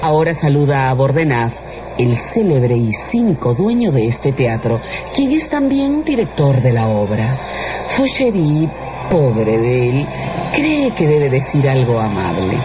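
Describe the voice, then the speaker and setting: A wry female storyteller narrates a radio drama theatrically. Her low voice swoops expressively, in dull sound with clear noise behind it. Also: big pitch swings, unhurried, full character voice.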